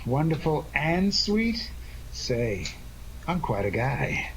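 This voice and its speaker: A man's voice: a little high, sing-song, takes it slowly. A man playing a genial, gallant detective in a 1940s-style radio drama, with an affable, playful manner. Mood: affable, charmed. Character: genial, gallant male adventurer detective, easily charmed. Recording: faintly noisy radio drama recording.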